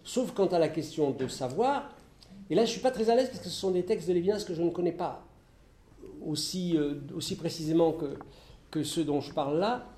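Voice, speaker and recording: Hesitant French lecturer in an echoey hall. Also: male voice, a little high, animated, dark tone. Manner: halting, many restarts and fillers, thinking aloud. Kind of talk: public philosophy lecture with questions.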